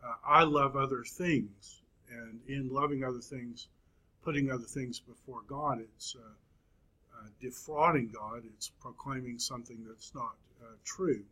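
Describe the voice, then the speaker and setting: A man in his fifties teaching a Bible class, speaking slowly with frequent pauses and fillers. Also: medium pitch, fairly even intonation, sharp tone, breathy edge, rough recording. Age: 50s. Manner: slow, halting, many fillers and pauses. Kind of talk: classroom lecture.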